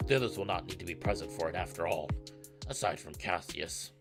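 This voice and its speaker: A man voicing a large, earnest, commanding furred alien royal in a game, speaking in a measured, formal and gentle manner. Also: low voice, over music, voice pushed down. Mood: formal, assured.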